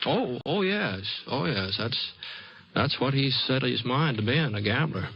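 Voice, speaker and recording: A man's voice: medium pitch, animated, measured pace. An actor playing a folksy, easygoing American Western man in an old-time radio drama, wry and patient with a hesitant, homespun manner. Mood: tactful, sly. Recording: muffled old-time radio recording, music behind.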